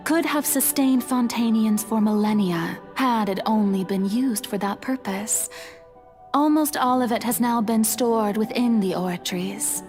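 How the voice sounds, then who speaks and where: A woman acting as an age-old female deity from a fantasy game, speaking in a lightly raised pitch with theatrical poise. She is calm, resolute and playful, taking her time over formal phrases. Music plays softly behind her. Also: lightly acted. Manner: theatrical, teasing, measured, formal diction. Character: serene, playful female god, centuries old, quietly resolute. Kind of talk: dramatic video game voice acting.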